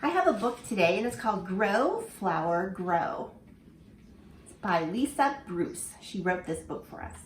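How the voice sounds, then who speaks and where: A woman teacher reading a picture book aloud to children in an animated way, stopping to ask them questions. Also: mid-range voice, unhurried, a little hiss or hum. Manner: animated, asks the listener questions. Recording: echoey room with faint ambience.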